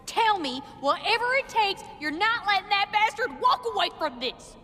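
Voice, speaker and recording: A woman playing a blunt, feisty dwarf woman in a fantasy video game, with a brash, folksy and forceful delivery. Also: very high-pitched, expressive, moderate pace, full character voice. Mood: fierce, bitter. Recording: long reverb, faint background noise.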